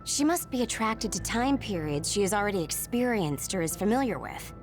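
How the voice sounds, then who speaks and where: A woman's voice: medium pitch, very animated, brisk, faint music behind, lightly acted. A woman playing a brainy, laid-back young woman in an English game dub, explaining things in an analytical, matter-of-fact way.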